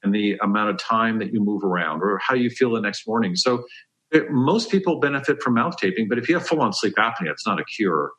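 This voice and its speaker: A man's voice: lowish voice, animated, brisk, fairly bright tone, poor audio. A man giving health advice as a dentist on a podcast, explaining step by step in an emphatic, conversational way.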